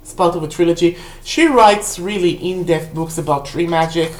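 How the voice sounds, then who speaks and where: A woman, a native Hebrew speaker, casually rambling through a book review for a vlog in a halting, self-correcting way. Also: fairly low pitch, darkish tone. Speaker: book haul vlog host. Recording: roomy, echoey indoor sound.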